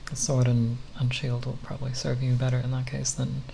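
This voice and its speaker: A speaker giving an unscripted ASMR ramble in a halting, nerdy, hesitant manner with long pauses. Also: mid-range voice, completely flat delivery, gravelly, warm tone, poor audio. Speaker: ASMR content creator. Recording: faint background noise.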